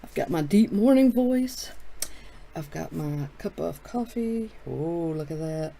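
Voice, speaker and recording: A middle-aged man hosting a casual, relaxed chat, thinking aloud as he opens packs of cards. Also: fairly high pitch, a little slow, gravelly, a little hiss or hum. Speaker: card shop host. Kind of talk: casual chat while opening card packs.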